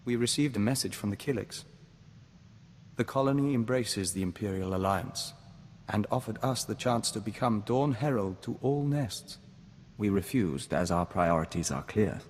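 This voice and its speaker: A man voicing a gentle, devoted diplomat of an insect hive mind, formal and measured, in a video game. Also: lowish voice, animated, lightly acted. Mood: sincere, grateful. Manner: formal, measured, speaks of himself as we. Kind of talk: scripted video game dialogue acting. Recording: long reverb, noisy game ambience.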